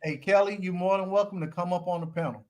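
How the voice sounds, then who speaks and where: Teasing slangy host, fairly high voice. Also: male voice, fairly even intonation. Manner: teasing, slangy, quick to call people out. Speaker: live-stream host. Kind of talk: casual live-stream chat.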